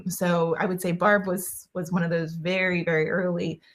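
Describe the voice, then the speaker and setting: A woman's voice: lowish voice, flat delivery, normal speed. A woman guest on an interview podcast, answering in a chatty way with many fillers and restarts. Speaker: guest, engineer.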